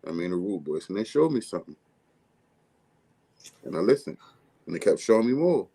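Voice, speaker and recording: A man from with a deep, bass-heavy, mumbly voice, talking casually as a music artist guest in an interview. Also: sing-song, brisk, gravelly, mellow, husky. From Baltimore, USA. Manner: mumbly, laid-back streetwise delivery.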